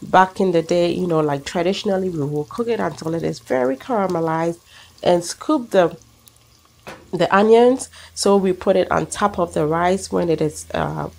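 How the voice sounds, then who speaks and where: Ghanaian woman with a low, gravelly voice. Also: moderate pace. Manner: warm, chatty, explains each step. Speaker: cooking channel host. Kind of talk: cooking demonstration. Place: outdoor backyard patio at a grill. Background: sizzling pan, birds.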